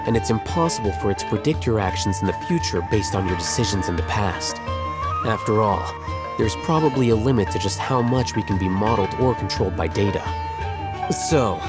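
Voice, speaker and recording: An actor playing a calm, analytical young scholar in a video game, explaining in a measured way. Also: male voice, on the low side, close to an everyday voice. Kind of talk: video game cutscene acting. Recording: very loud music behind the voice.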